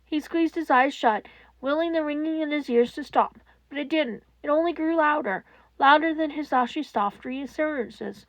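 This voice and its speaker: A woman narrating scripted fan fiction in a solemn, measured way with dramatic pauses. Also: high voice, no room sound, rough recording.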